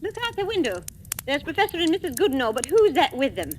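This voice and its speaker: High-voiced, domineering nagging wife. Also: female voice, very animated, fast talker. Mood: suspicious. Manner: imperious, scolding. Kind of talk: 1940s radio acting. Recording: faintly crackly vintage radio recording.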